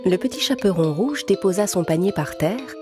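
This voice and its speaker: A woman's voice: mid-range voice, animated, normal speed. A woman narrator reading a scripted fairy tale for small children in a storytelling style. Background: music.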